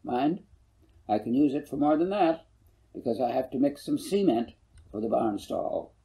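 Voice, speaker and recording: A man's voice: mid-range voice, animated, normal speed, creaky, dark tone. A man voicing a folksy, good-humored older farmer who rambles and corrects himself, in a storybook reading. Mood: folksy, rambling. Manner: rambling, homespun, self-correcting. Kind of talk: audiobook character voice.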